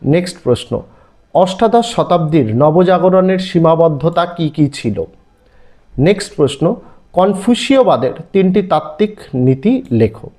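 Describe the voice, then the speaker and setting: Male teacher, Indian Bengali accent, very animated intonation, mellow tone, exam-prep question reading, emphatic instructional delivery. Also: on the high side, normal speed. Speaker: online history teacher.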